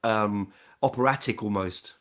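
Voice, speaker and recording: A man's voice: lowish voice, measured pace, a bit bright. A man with an Australian accent who hosts a casual interview, listening closely and adding short agreeing murmurs. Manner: attentive, with frequent agreeing murmurs and laughs. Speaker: interviewer, host.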